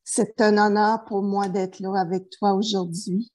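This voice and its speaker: Woman therapist, warm relaxed tone, halting, full of fillers. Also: mid-range voice. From New Brunswick, Canada. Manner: hesitant, many fillers and restarts. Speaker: therapist guest. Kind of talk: interview, life story.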